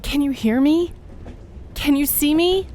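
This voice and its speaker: A woman's voice: high voice, measured pace, darkish tone, slight background noise. A woman playing the earnest ghost of a wartime doctor in a radio drama, speaking frankly and conversationally. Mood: startled, urgent. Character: earnest female ghost of a Great War doctor.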